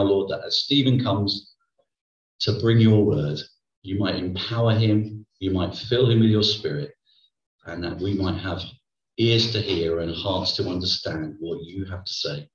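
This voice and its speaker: Male worship leader, deep voice, earnest prayer, dull distant church sound. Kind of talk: spoken prayer. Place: church hall. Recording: echoey lo-fi church recording from far off.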